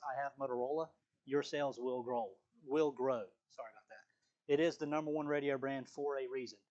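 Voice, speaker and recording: A man's voice: mid-range voice, dull audio. A man leading a live sales training on a video call, talking casually with frequent fillers and restarts.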